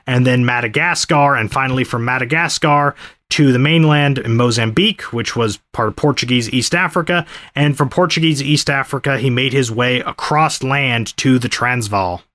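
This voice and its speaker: A man co-hosting a podcast, telling a history story in a steady, detailed way. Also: mid-range voice, no room sound. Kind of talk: casual history podcast narration.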